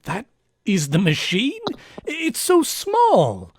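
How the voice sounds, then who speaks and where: A man acting a megalomaniac villain posing as a professor in an old-time radio play, speaking in a deliberate, grandiose, theatrical manner. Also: high-pitched, wildly swooping pitch, slightly rough, heavily acted. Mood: feigned disbelief.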